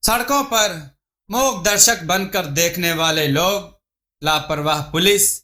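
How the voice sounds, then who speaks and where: A man narrating a scripted moral story as a storyteller, in a measured, dramatic manner. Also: high voice, sharp tone.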